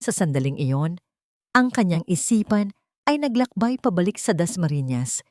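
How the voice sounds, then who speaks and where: Female narrator, slightly low pitch, dramatic scripted storytelling, dry studio-like sound. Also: sing-song, normal speed, a little mellow, dry recording.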